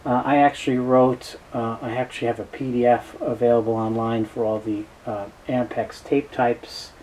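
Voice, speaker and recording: Mellow-voiced man explaining, noisy recording. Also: medium pitch, flat delivery. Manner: halting, matter-of-fact, knowledgeable. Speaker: tape dealer presenting a product overview. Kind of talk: informal explainer, unscripted.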